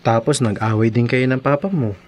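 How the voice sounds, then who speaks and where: An actor playing a devoted, slightly shy young boyfriend in a radio drama, with a Filipino accent. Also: male voice, mid-range voice, a little fast, darkish tone, lightly acted. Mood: familiar, caring. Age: young adult.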